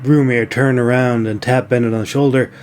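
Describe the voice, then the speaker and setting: A man narrates a fantasy novel as its author. His voice has a middling pitch, and he delivers scripted storytelling prose. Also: steady tone, normal speed, darkish tone, faint music behind.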